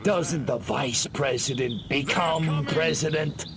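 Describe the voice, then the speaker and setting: A man voicing a cocky, wisecracking alien invader in a video game, with a gravelly sardonic drawl. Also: a little high, slow, background noise. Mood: wry, cocky. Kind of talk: video game cutscene acting. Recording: loud music under the voice.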